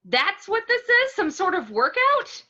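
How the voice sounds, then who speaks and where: A woman playing a feisty, determined superhero wife and mother in a dramatic read of an animated film script, forceful and insistent. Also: extremely high pitch, measured pace, muffled recording, heavily acted. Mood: indignant.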